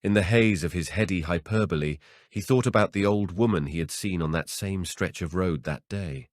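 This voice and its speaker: A man's voice: deep voice, normal speed, dry recording, clean studio sound. A man narrating a horror audiobook as a scripted story reading.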